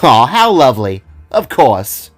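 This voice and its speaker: Kindly mother-owl baker voiced by a man, welcoming and lively, audio-drama style over music. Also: mid-range voice, measured pace. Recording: music behind the voice.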